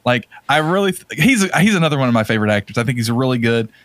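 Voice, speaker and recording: A man's voice: mid-range voice, big pitch swings, very fast, a bit bright, no echo. Rambling man chatting, dry close recording. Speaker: podcast co-host. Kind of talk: casual chat about movies.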